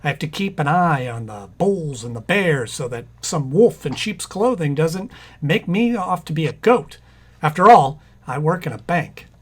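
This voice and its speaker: An actor playing an exasperated young husband who works in a bank, in an old-time radio comedy. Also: male voice, fairly high pitch, animated, moderate pace, breathy edge. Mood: fussing.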